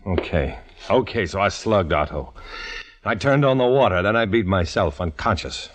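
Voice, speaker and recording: A man's voice: sits low, expressive, moderate pace. An actor playing a tough undercover agent who tells his own story in hard-boiled first-person narration, in a 1950s radio drama. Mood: defensive.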